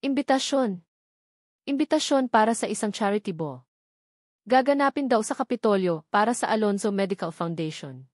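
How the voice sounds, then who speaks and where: A woman voicing an excitable, astonished motherly neighbour in a narrated story, exclaiming and gossipy. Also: mid-range voice, moderate pace, dry recording, clean studio sound, close to an everyday voice. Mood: astonished, excited.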